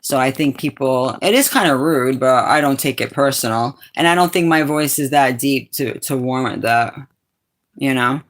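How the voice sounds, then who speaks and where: A middle-aged woman from Brazil with a raspy, fairly low voice, chatting casually with viewers on a livestream and calling them sweetheart and darling. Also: moderate pace. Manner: laughs often, calls viewers sweetheart and darling. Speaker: livestream host. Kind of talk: casual chat answering viewers.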